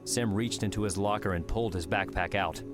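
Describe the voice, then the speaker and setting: A man with a fairly low voice narrates a dramatic adventure audiobook. He reads scripted prose as a storyteller, with music playing clearly behind him. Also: a little fast.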